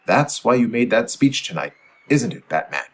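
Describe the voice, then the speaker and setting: A man's voice: mid-range voice, very animated, normal speed, fairly bright tone. A man playing an upright, righteous adult hero with earnest, emphatic delivery in 1940s radio serial style. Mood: stern, reproachful.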